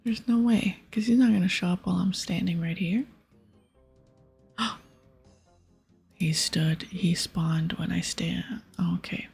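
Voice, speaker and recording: Whispery, husky woman gamer with creaky edges. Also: fairly low pitch, takes it slowly, long pauses, soft background music. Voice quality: breathy, hushed. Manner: mostly whispering, with stretches of soft speech. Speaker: ASMR gaming streamer. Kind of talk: ASMR game playthrough with whispered commentary.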